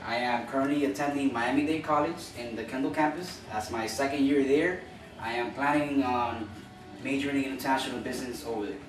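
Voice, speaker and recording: A man's voice: mid-range voice, a little fast, over music. Young man giving an echoey class speech. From Cuba. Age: young adult. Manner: earnest, informal, with repeats and restarts. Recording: echoey room from far off with noise.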